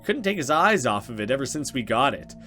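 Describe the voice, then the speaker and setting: A man's voice: medium pitch, big pitch swings, fairly quick, pushed and bright, soft background music. A man voicing an earnest young woman from a horror visual novel in a conversational storytelling way. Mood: friendly. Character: grieving young woman, friendly hostess, unsettled by things. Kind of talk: visual novel dialogue read aloud.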